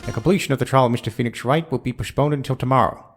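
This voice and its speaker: A man's voice: mid-range voice, very fast, close to an everyday voice. A man acting an authoritative older courtroom judge in a video game drama, formal and musing. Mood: deliberating. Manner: formal, musing, hesitant hums. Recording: music clearly under the voice.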